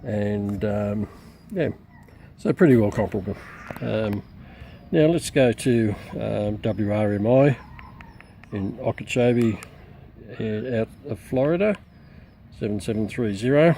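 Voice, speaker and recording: A middle-aged man with an Australian accent gives an unscripted product demonstration as a reviewer, rambling hesitantly with frequent pauses. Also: lowish voice, mellow. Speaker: radio reviewer. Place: outdoors in a backyard. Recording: clearly noisy outdoor camera recording.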